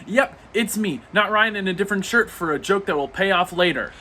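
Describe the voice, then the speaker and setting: A man with a high, bright voice answers casually in a comedy sketch. There is clear background noise behind him. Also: lively intonation, a little fast. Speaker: friend on a call in a comedy skit. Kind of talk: casual scripted skit replies.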